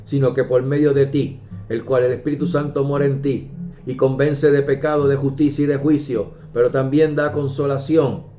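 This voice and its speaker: A man preaching a sermon, measured and emphatic, pausing often and quoting scripture. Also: mid-range voice, little pitch movement. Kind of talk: slow deliberate sermon. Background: soft guitar music.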